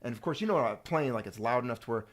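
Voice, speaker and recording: A man's voice: mid-range voice, expressive, racing. A middle-aged man hosting a casual home chat, rambling and joking. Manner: chatty, rambling, jokey. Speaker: channel host.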